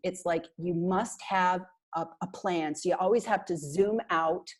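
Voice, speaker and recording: A woman's voice: on the low side, unhurried. A middle-aged woman from Canada, a marketing consultant, talking animatedly and emphatically as a guest on a video call through an earphone headset. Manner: animated, talks with her hands, chatty and emphatic. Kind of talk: casual video call interview. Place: small bright room indoors.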